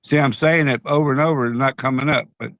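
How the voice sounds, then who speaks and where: A man's voice: mid-range voice, fairly even intonation, quick pace, fairly bright tone, no room sound. A man co-hosting a casual talk show, rambling with many restarts and chuckling asides. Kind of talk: casual chat.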